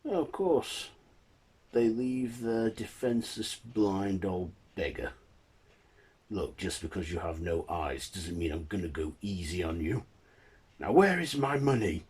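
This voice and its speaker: A man's voice: deep voice, slow, dark tone, husky, exaggerated voice. A man voicing an aggressive, callous debt collector who makes demands in a bullying manner. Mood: menacing.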